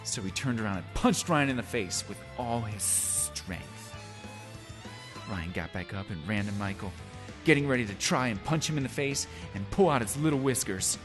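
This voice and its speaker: A man's voice: fairly low pitch, animated, moderate pace, music in the background. A man doing a theatrical, comedic dramatic reading of a story, exaggerated and full of ad-libbed asides.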